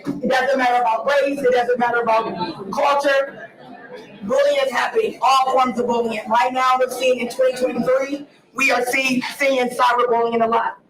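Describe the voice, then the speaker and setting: A woman from Ohio giving an earnest, emphatic presentation about her nonprofit, her voice raspy from an early start. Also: sits high, little pitch movement, moderate pace, a crowd in the background. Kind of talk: conference presentation. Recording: echoey hall, distant mic.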